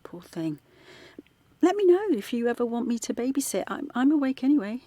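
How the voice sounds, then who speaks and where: An actress playing a middle-aged former activist turned art teacher from London, talking softly late at night in a radio drama. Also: female voice, fairly high pitch, big pitch swings, fairly quick, dry recording. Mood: kind. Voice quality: soft, hushed late-night talk. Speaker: character in audio drama.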